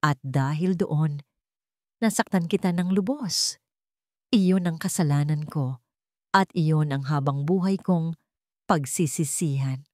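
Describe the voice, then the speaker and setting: A woman's voice: low voice, takes it slowly, pauses often, darkish tone, no echo, clean studio sound. A woman voicing a remorseful, desperate wealthy man who pleads earnestly in a dramatic storybook.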